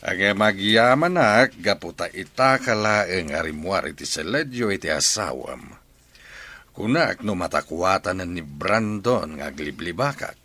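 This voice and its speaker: A man playing a deep-voiced evasive father. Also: animated, a little slow, sharp tone, full character voice. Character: well-off older father, evasive and blustering. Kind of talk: radio drama acting.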